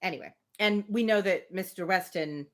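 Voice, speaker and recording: A woman's voice: fairly low pitch, normal speed, a bit bright. A woman who chats casually and reads a novel aloud expressively on a live stream.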